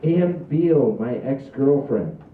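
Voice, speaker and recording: A man's voice: mid-range voice, lively intonation, slow. Man acting an awkward lovelorn teenage boy, echoey. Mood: awkward, hopeful. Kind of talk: table read of a comedy film script. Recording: muffled, echoey, far from the mic.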